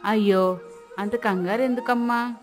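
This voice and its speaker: A woman voicing a friendly schoolmaster's wife, a village newcomer, in an animated moral story. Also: a little high, measured pace, faint music behind, natural voice.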